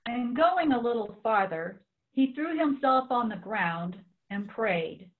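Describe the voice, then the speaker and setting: A woman's voice: fairly high pitch, animated, takes it slowly. A woman giving a dramatic reading of scripture as a church reader, recorded with a thin phone-like sound.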